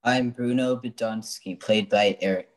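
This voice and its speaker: Man playing a flat, deadpan shady customer. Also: fairly low pitch, a little slow, close to an everyday voice. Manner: stiff deadpan. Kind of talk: comic old-time radio acting.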